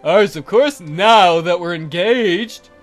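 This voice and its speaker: Man doing a smug, loud wolf-man suitor. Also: high voice, takes it slowly, faint music behind.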